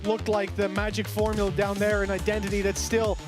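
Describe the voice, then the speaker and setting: Male caster, high voice, fluent quick analysis, music underneath. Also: monotone. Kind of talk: esports commentary.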